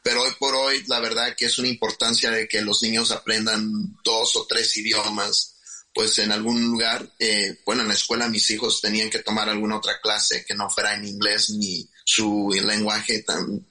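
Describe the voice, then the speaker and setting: A man's voice: on the low side, pushed and bright, rough recording. A middle-aged Mexican chef and restaurant owner answering interview questions in a candid, rambling way with a Mexican Spanish accent, often tagging his sentences with questions.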